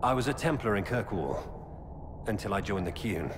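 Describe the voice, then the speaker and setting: Attore: ex templare tetro in un videogioco, tono grave e misurato, voce bassa. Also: male voice, expressive, moderate pace, lightly acted. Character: grim former Templar man turned Qunari informant. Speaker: game character. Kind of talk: video game voice acting. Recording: game audio with long reverb and ambient noise.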